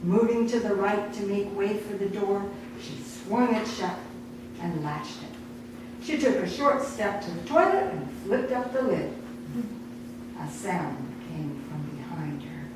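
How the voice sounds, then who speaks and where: Echoey, distant woman slowly reading a story. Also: mid-range voice, lively intonation. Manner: deliberate, dramatic storytelling with acted dialogue. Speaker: author reading own story. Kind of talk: expressive short story reading at a live event. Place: indoor awards night event. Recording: echoey room, distant mic, noisy background.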